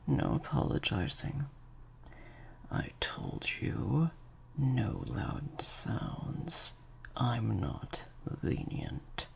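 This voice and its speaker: A man playing a slightly sadistic, controlling vampire master in an intimate improvised audio roleplay, hushed and deliberate, with long pauses. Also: mid-range voice, exaggerated voice. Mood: disapproving, menacing. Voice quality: breathy, creaky half-whisper. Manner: slow, deliberate, hushed delivery with drawn-out pauses.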